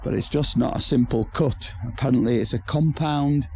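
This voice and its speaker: A hoarse man who has nearly lost his voice, chatting casually to camera about his outdoor building project with rambling restarts. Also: medium pitch, normal speed, a little hiss or hum. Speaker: vlogger building a pizza oven shelter. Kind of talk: casual to-camera DIY chat. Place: outdoor building site in a garden.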